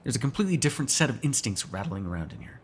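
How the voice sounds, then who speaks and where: A man's voice: mid-range voice, big pitch swings, very fast, slight background noise, natural voice. A man playing a sarcastic, teasing vampire who kills without regret, in casual, profane roleplay banter. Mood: defensive, frustrated. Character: snarky male vampire, restless unrepentant killer, teasing. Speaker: voice actor in an audio roleplay. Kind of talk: ASMR roleplay, casual one-sided dialogue.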